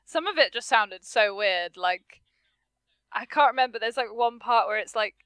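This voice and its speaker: A woman's voice: on the high side, animated, moderate pace, a bit bright, clear, resonant tone, no room sound. A woman, a voice actress guest, chatting casually on a podcast, hesitant and giggly with self-deprecating remarks.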